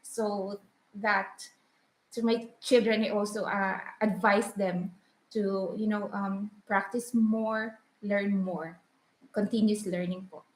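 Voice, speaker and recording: A Filipino woman, a mother, answering interview questions with many pauses and polite fillers. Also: mid-range voice, fairly even intonation. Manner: hesitant, many ums, polite "po". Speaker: guest, mother. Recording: roomy echo.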